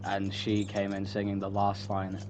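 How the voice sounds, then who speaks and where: A man's voice: on the low side, monotone, normal speed. A man chatting casually and rambling, often repeating and interrupting himself in a joking way. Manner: self-interrupting, repetitive, joking, swearing casually. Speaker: writer promoting own online story. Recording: muffled audio with clear hum.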